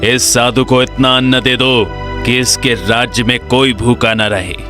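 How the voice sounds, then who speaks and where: A man's voice: medium pitch, fairly even intonation, a little slow, sharp tone, soft background music. A man playing an arrogant, cruel king in a cartoon story, haughty and sarcastic in manner. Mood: mocking. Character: arrogant, cruel, pleasure-loving king, mocking and commanding. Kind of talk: Hindi cartoon story acting.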